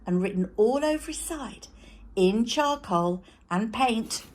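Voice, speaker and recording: A woman reading a children's story aloud as a teacher, in an expressive narrating style. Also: a little high, takes it slowly.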